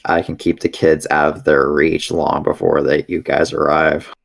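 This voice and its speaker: A man's voice: extremely low pitch, level tone, normal speed, creaky. A man playing a tabletop fantasy game, speaking casually in and out of character.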